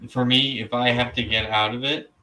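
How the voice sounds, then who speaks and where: A man in his thirties, a guest in a casual live chat, talking in an animated, stop-start way with the odd casual swear. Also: mid-range voice, normal speed, distant mic, low-quality recording. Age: 30s.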